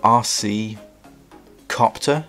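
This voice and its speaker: A man's voice: on the low side, drawn-out words, fairly bright tone, soft background music. A man with a cold and a congested voice, chatting enthusiastically while reviewing toys at a table indoors. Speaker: toy reviewer. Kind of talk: casual toy review. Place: indoors at a table, hands on camera.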